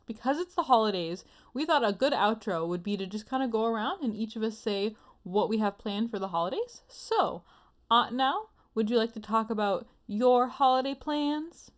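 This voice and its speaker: A woman's voice: fairly high pitch, lively intonation, normal speed. A woman hosting a casual anime podcast, chatting in a playful, giggly manner. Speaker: podcast host.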